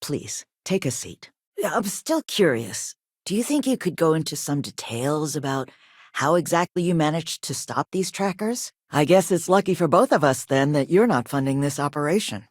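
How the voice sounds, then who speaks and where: A woman acting as a poised, quietly assertive company chief executive in a video game, polished, measured and courteous but firm. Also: low-pitched, animated, no echo, clean studio sound. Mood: composed, businesslike.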